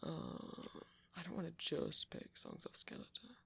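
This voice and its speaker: A man playing a nerdy, easily flustered vampire who rambles hesitantly and sweetly in a soft-spoken ASMR roleplay. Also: a little high, wildly swooping pitch, a little slow, creaky, a little hiss or hum, full character voice. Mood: absorbed, musing. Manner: hesitant, rambling, self-deprecating, breaks into soft laughs.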